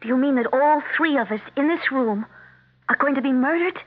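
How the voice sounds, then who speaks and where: A woman's voice: high voice, fairly quick, close to an everyday voice. An actress playing a nervous, hesitant, easily frightened woman in a 1970s radio drama. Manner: hesitant, anxious. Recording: muffled, narrow-band 1970s radio broadcast.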